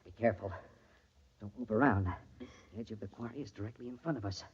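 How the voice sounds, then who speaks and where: An actor playing a desperate, commanding lover covering up a crime in an old radio thriller, giving urgent, bossy orders. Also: male voice, on the low side, fast talker, breathy edge. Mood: grimly controlled. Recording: dull, lo-fi old radio recording.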